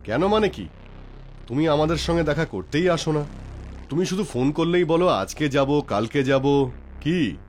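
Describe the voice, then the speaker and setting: Male actor as hearty, teasing friend and host; animated, highish voice. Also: moderate pace, long pauses, faint music behind, close to an everyday voice. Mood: jovial, teasing. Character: jovial, teasing bank manager hosting his doctor friend. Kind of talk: dubbed drama dialogue.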